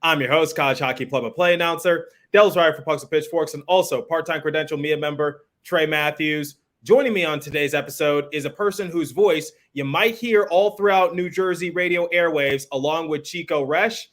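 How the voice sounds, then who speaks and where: A man's voice: fairly high pitch, a little fast, few pauses, a bit bright. A man hosting a sports podcast, introducing and interviewing in an upbeat style. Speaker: podcast host.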